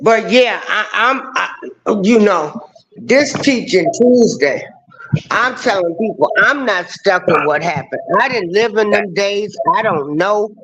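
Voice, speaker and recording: Easygoing man, slow, over dull stream audio. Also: high-pitched, animated, fairly bright tone. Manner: easygoing, affirming, repeats himself. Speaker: host of a live stream show. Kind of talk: casual livestream talk.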